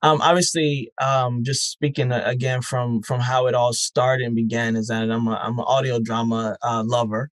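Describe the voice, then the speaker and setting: A man with a medium-pitched voice talks as a podcast guest in an earnest, reflective way. He searches for his words and fills the gaps with "um." The sound is dry and close to the mic. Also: level tone, normal speed, hardly any pauses, no room sound. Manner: thoughtful, earnest, many "um"s and restarts. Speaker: podcast festival founder, guest. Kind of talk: casual interview talk.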